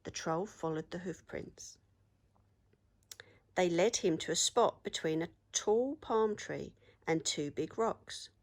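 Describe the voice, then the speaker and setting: A woman teacher reading a story aloud to children in an expressive storytelling style. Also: lowish voice, takes it slowly.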